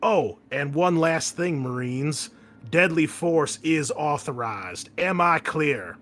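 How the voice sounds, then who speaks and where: Gruff military commander, played by a male game master, forceful and profane, barking mission orders, slight background noise. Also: a little high, animated, takes it slowly. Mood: fierce, commanding. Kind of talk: military briefing in a tabletop game.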